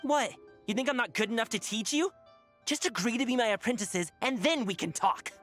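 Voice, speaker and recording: A woman's voice: a little high, a little fast, a bit bright, soft background music, natural voice. Woman playing brash, hot-headed young guy. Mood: threatening. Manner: impatient, demanding, blunt. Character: brash, hot-tempered young male dancer, possessed and pushy. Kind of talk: video game voice acting.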